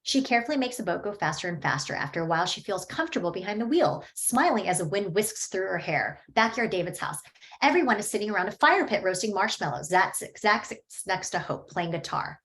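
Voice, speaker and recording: Woman reading stage directions, steady plain delivery, mid pitch. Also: brisk. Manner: steady, matter-of-fact reading. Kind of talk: reading a screenplay aloud.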